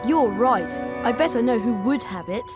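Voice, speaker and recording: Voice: mid-range voice, lively intonation, normal speed, lightly acted. A performer voicing a friendly, dryly wry young schoolboy hero in a video game. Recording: loud music under the voice.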